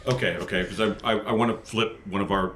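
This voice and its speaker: A man's voice: lowish voice, steady tone, quick pace, a bit bright. A man playing a tabletop role-playing game, chatting casually and improvising his part.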